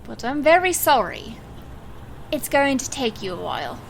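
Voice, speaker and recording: A resolute, guarded woman magician, played by an actress in an audio drama. Her voice sits a little high and she speaks in a grave, measured way. Faint noise runs under the recording. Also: sing-song, gravelly, exaggerated voice, rough recording. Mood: firm, resolved. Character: resolute, guarded woman stage magician facing her murderous birth father.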